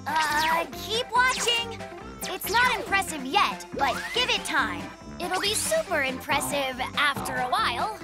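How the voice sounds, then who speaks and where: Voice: very high voice, expressive, a little slow. An actor voicing an earnest, proud little cartoon polar bear cub who proclaims itself a superhero with great self-importance. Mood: eager, defensive. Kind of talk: children's cartoon voice acting. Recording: children's cartoon music behind the voice.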